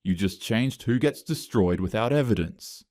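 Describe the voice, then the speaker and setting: A man playing a quiet, stern male coworker. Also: lowish voice, lively intonation, dry recording, studio quality. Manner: quiet, measured. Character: calm stern male coworker confronting her.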